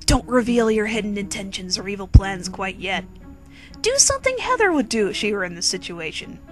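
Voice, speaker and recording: A woman's voice: medium pitch, big pitch swings, brisk. A woman giving a theatrical, playful and sarcastic dramatic reading of a comic parody story in the second person. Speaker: fan fiction reader. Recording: quirky background music under the voice. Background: quirky instrumental music.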